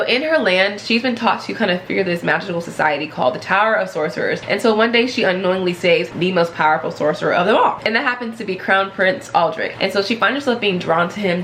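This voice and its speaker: A woman's voice: medium pitch, a bit bright, a little hiss or hum. A young woman with a stuffy nose, chatting effusively through a book haul and laughing often. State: stuffy from a cold. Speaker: book vlogger. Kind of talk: casual book haul chat.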